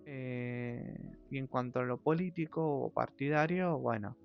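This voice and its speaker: A man with an Argentine accent, hosting his channel in a thoughtful, hesitant personal monologue, pausing often on long fillers. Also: medium pitch, vocal fry. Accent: Argentine Spanish. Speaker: channel host. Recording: dull lo-fi audio with faint music.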